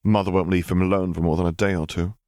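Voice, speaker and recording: A man's voice: low voice, fairly even intonation, quick pace, a little mellow, dry recording, studio quality, natural voice. A man voicing a gangly, jocular younger academic in an audiobook, chattering in an effusive, slightly flustered way. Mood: sheepish, chatty.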